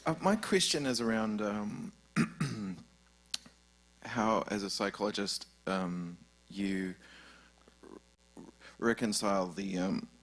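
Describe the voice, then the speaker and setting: A man's voice: fairly low pitch, takes it slowly, pauses often, rough recording. A man with a New Zealand accent asking a question from the audience, hesitant and full of restarts. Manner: hesitant, many restarts and fillers. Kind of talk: live question from the floor.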